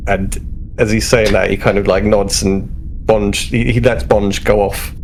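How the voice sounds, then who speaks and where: A man's voice: fairly low pitch, little pitch movement, a little slow, creaky, soft background music. A man chatting casually as a player in a tabletop game, improvising narration for his character.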